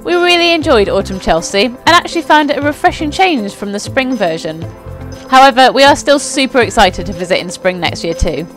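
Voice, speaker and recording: An upbeat young woman reads a scripted narration with enthusiasm, her voice sitting a little high. Clear music plays underneath her throughout. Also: lively intonation, brisk, fairly bright tone. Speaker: company presenter. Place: outdoors at a flower show.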